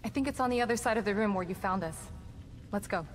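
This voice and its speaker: A woman playing an earnest, sympathetic ally in a horror video game, speaking in a serious, measured, sincere way. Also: medium pitch, noisy background, close to an everyday voice. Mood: resolute. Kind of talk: dramatic video game acting. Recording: long reverb, music behind voice.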